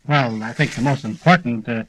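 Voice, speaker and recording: A man's voice: mid-range voice, fairly quick, fairly bright tone, slight background noise. A man giving plain-spoken opinions as a panelist in a radio discussion.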